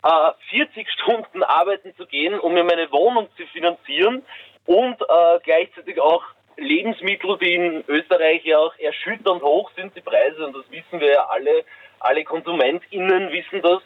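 A twenty-year-old man, calling a radio phone-in and asking his question in a halting, hesitant way. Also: a little high. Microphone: telephone. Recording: tinny, band-limited phone line.

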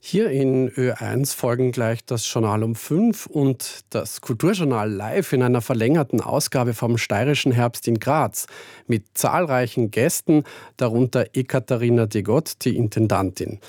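A man announces upcoming radio programmes in a smooth, steady way. His voice is mid-pitched. The sound is dry and close. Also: a little slow, no room sound. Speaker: radio presenter.

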